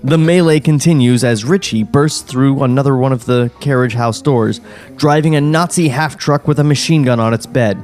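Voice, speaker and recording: A man's voice: medium pitch, moderate pace, darkish tone, faint music behind. A man hosting a podcast, reading the stage directions of a screenplay aloud in a dry, wry way. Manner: dry, wry, with chuckles and sarcastic asides. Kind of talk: script table read, narrating stage directions. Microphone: studio podcast mic.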